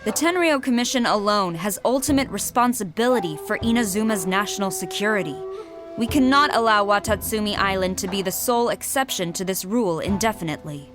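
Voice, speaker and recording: An actress playing a dutiful, unyielding military commander in a video game, speaking in a businesslike, authoritative and formal way. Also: female voice, medium pitch, expressive, a little fast, close to an everyday voice. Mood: firm. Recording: soft music under the voice.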